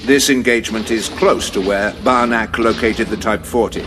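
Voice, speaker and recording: A man playing a composed Time Lord officer in an audio drama, delivering a crisp, explanatory briefing. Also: lowish voice, fairly even intonation, normal speed, background noise, lightly acted. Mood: measured. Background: music.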